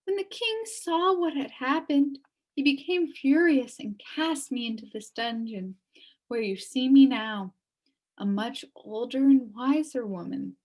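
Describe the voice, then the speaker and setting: A woman's voice: high-pitched, a little slow, a little mellow, rough recording. A woman voicing a sad, wistful elderly woman recounting her downfall in a storybook. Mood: regretful. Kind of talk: storybook character reading.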